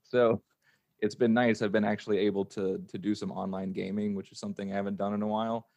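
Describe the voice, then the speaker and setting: A man's voice: lowish voice, level tone, fast talker, gravelly, a little mellow, no room sound. An adult man talking casually on a podcast, telling stories in an informal, chatty way. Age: adult with a young child. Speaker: podcast guest and voice actor. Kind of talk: casual chat.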